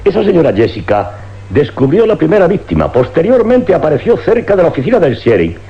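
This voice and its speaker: An actor playing a brisk, determined big-city police inspector in a radio play, with emphatic, theatrical delivery. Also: male voice, on the high side, big pitch swings, creaky. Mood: resolute. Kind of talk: dramatic radio play acting. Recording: dull lo-fi old radio recording.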